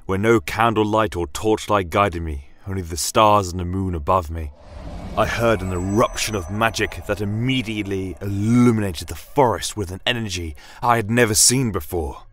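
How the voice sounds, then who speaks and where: A man playing a solemn church inquisitor, narrating a fantasy tale in a measured, brooding manner with deliberate pauses. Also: fairly low pitch, close to an everyday voice. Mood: brooding, wary. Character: solemn male church inquisitor recounting a wondrous, troubling journey. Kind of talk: dramatic first-person fantasy audio narration. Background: soft fantasy ambience music.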